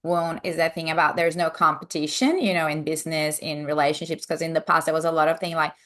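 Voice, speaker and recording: A woman's voice: low voice, steady tone, fast, fairly bright tone. A woman from Brazil with a Brazilian accent, an upbeat coach chatting as a podcast guest. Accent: Brazilian Portuguese. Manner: upbeat, cheerleading. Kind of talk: casual interview chat.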